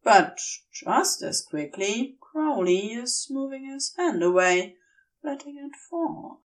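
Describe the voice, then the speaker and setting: A woman's voice: high-pitched, expressive, slow, far from the mic, low-quality recording. A woman reading scripted fiction aloud as a narrator. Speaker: podfic narrator.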